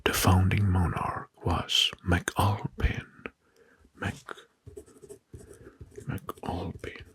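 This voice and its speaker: A man narrating history as an ASMR host in a breathy whisper, slowly and deliberately, repeating words, close to the mic. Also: low voice, little pitch movement, a bit bright. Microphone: close microphone.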